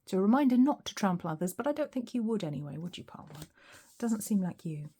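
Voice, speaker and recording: Mellow-voiced woman with a weak, slightly hoarse voice. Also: medium pitch, very animated, quick pace. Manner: musing, thinks aloud with frequent ums. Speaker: tarot reader. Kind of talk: relaxed solo tarot reading.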